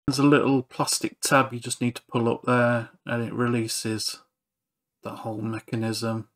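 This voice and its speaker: A man's voice: medium pitch, little pitch movement, normal speed. A man narrating a hands-on repair walkthrough in an understated, matter-of-fact way. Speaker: repair channel presenter.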